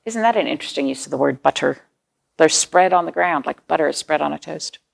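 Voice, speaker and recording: A woman with a creaky voice hosting storytime for young children, reading aloud and chatting to them in simple explanations and questions. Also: low voice, animated, fast talker. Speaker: children's storytime host at a library.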